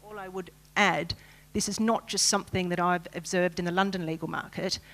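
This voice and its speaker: An Australian woman speaking as a panelist, a lawyer at a conference. She talks in an articulate, emphatic way and often starts a point with a quick filler. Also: on the low side, steady tone, fairly bright tone.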